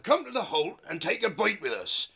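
A man voicing a huge, fat, boisterous male otter who bellows in a rough rustic dialect. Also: sits high, very animated, normal speed, pushed and bright, pitched above the performer's own voice, full character voice. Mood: hearty. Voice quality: gravelly, hearty bellowing.

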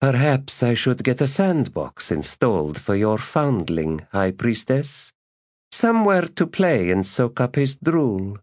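A man's voice: medium pitch, big pitch swings, a little slow, darkish tone, no echo, studio quality, pitched above the performer's own voice. A male narrator voicing an elegant, disdainful noblewoman with a languid, weary manner, in an audiobook. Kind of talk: audiobook character voice.

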